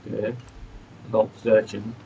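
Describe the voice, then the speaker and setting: A man's voice: on the low side, completely flat delivery, vocal fry, dark tone, dull audio, slight background noise. A man giving casual, hesitant commentary on a video game walkthrough. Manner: halting, hesitant delivery. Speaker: gameplay YouTuber.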